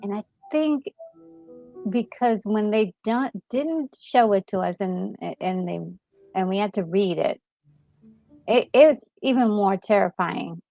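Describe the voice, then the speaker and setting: Woman, gravelly fry in the voice, unhurried pace, casual gaming co-host, excitable and emphatic, music underneath. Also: medium pitch. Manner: excitable, emphatic, repeats herself. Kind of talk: casual reaction chat about a game story. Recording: music playing behind the voice. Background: background music.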